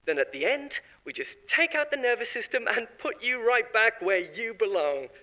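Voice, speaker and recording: A man's voice: very high voice, big pitch swings, normal speed, pushed and bright, exaggerated voice, low-quality recording. A man playing a panicked blame-shifting corporate marketer. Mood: defensive. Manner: wheedling, defensive, nervous laughter. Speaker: voice actor. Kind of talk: audio drama monologue.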